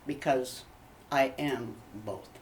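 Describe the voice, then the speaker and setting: A woman's voice: extremely low pitch, slow pace, far from the mic, slight background noise. A woman playing a theatrical, indignant actress typecast as witches and stepmothers, gushing dramatically in a comedy mock interview. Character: theatrical, aggrieved actress who plays witches and evil stepmothers.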